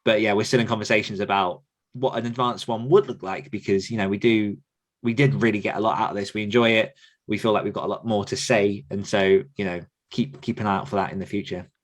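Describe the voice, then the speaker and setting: Guy hosting a podcast, kinda low voice, really enthusiastic and gushing, chatty style. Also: steady tone, fast. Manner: enthusiastic, effusive. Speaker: podcast host and author coach.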